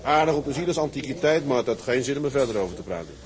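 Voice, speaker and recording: A man's voice: medium pitch, lively intonation, racing, lightly acted. A businesslike Dutch seafaring man voiced by a male actor speaks in a medium register. He takes charge in a matter-of-fact way, in the manner of an audio drama. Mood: brisk, businesslike. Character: decisive male Dutch seaman leading a shipping venture. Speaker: leader of a group of seamen.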